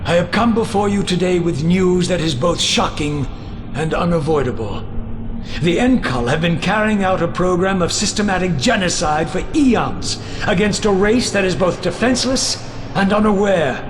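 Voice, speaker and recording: A man's voice: sits high, lively intonation, moderate pace, noisy background. A man playing an impassioned, dignified alien envoy in a video game, with earnest, declamatory oratory. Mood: solemn, urgent.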